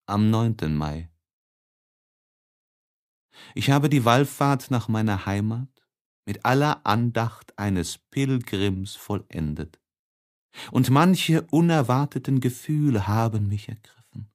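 Slow, expressive male audiobook narrator. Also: medium pitch. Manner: slow, deliberate, expressive delivery. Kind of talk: dramatic literary audiobook reading of a letter novel.

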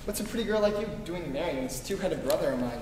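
A man's voice: fairly high pitch, animated, quick pace, crowd noise, natural voice. Passionate, idealistic small-town young man, male stage actor, heard from afar with strong echo. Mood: cheerful. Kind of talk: staged old-time radio play acting. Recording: echoey hall from far off, noisy.

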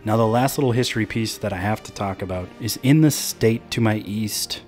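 A man's voice: lowish voice, a little fast, darkish tone, soft background music. A young man in his twenties, an upbeat vlogger explaining a sport in a chatty way from a cozy living room. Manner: chatty, upbeat, slangy asides. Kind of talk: casual explainer vlog.

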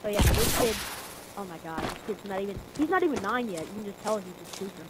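A man's voice: high voice, animated. An eleven-year-old boy casually chatting and teasing his opponent while playing a shooter game. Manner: fast, teasing trash talk. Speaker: gamer and channel host. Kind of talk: casual gaming chat. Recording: noisy home mic over game sounds.

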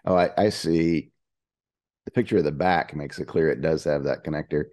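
A man's voice: a bass voice, moderate pace, a little mellow, dry recording, clean studio sound. A man on a video call asking hesitant, puzzled questions about hardware in a technical meeting. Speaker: engineering team member asking questions.

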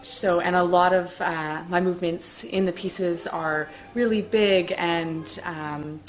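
A young woman answers interview questions in a slightly low voice. She is informal and thoughtful. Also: steady tone, slow pace. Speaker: amateur stage actress. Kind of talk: casual cast interview.

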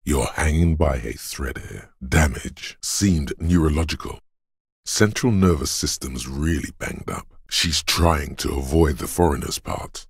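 A detective's bodily inner voice for a video game, grave and commanding. It is a bottomless bass, thick with gravel and very husky. Every line lands with solemn, portentous deliberation, like dramatic narration. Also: male voice, lively intonation, unhurried, no room sound, studio quality, full character voice. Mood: gruff, dry. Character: Endurance, grave inner voice of a battered detective's body.

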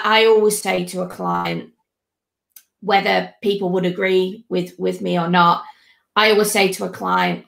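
A woman hosts a video call chat through a headset, her voice gravelly with vocal fry. She speaks in a chatty, conversational way, and the room around her sounds echoey. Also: mid-range voice, fairly bright tone. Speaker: host.

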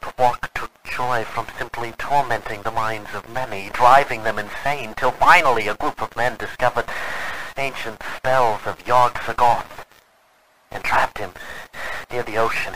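An actor playing an earnest, weary man of the 1920s recording a grave, measured, formal log in an old-time radio drama. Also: on the low side, background noise, close to an everyday voice.

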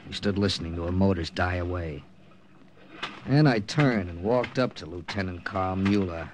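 A man's voice: sits low, moderate pace, noisy background, natural voice. A man acting a wary, distrustful American captain and secret agent in an old radio war drama, with clipped hard-boiled delivery. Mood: tense, grim narration. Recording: old radio broadcast with loud music.

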